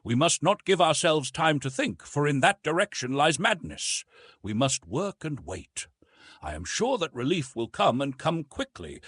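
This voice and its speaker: A man voicing a steady, gentle young nobleman husband who reassures earnestly and quietly in an audiobook. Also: fairly high pitch, husky, dry recording, exaggerated voice.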